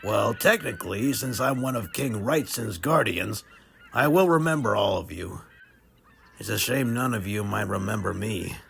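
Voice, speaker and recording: A man playing an eager, earnest temple guardian in an audio drama, excitable in manner. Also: on the low side, moderate pace, gravelly, slight background noise. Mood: wistful. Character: eager temple guardian longing to see the world.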